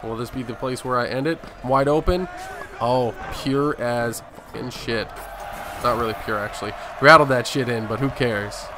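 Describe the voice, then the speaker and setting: A man hosts a gaming video with loose, casual play-by-play. He jokes and swears now and then. Clear background noise and game sound sit behind his voice. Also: medium pitch, normal speed. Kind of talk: casual gameplay commentary. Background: video game audio.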